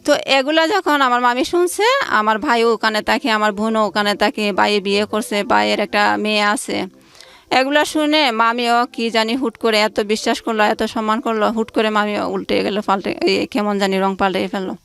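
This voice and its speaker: A woman's voice: on the high side, fast, fairly bright tone, clear, resonant tone. A woman, telling her life story informally as an interview guest and acting out conversations as she goes. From Bangladesh. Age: late 20s. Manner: recounts events through reported dialogue. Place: radio studio.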